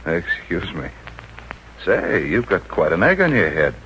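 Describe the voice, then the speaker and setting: An actor playing a skeptical police detective sergeant with a dry, probing, teasing manner in a 1950s radio comedy drama. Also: male voice, low-pitched, sing-song, a little slow, fairly bright tone, full character voice. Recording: noisy, dull old 1950s radio recording.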